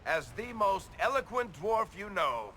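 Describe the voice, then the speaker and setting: A man's voice: sits high, very animated, bright, forceful tone, slight background noise, heavily acted. A man playing a wisecracking, fond dwarf rogue in a video game, rambling and jovial. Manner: rambling, slow, jovial toasting. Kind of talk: video game cutscene acting.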